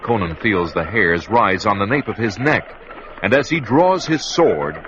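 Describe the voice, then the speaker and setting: A man narrating a vintage radio adventure drama in a measured, dramatic way, on a muffled, hissy recording. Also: on the low side, lively intonation.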